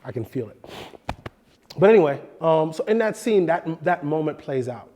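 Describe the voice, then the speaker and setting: A man in his thirties hosting a film production lesson in a studio, animated and expressive, sounding a bit nasal from a cold. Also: a little high, normal speed, a little hiss or hum. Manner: animated, expressive, gestures with hands. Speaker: host. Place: indoor studio set.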